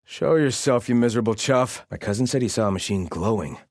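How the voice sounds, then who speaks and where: An actor playing a stern, disdainful, devout soldier of an exiled army in a video game. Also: male voice, medium pitch, expressive, a little fast, darkish tone, dry recording, studio quality, close to an everyday voice. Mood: low, menacing. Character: gruff, devout male soldier of an exiled sun-worshipping army. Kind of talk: video game character voice lines.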